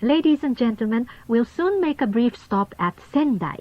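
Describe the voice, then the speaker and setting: A woman reading scripted onboard train announcements in a formal, polite, measured manner on a lo-fi cassette recording. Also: fairly high pitch, animated, no room sound. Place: recorded announcement played over train speakers. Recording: dull lo-fi cassette tape.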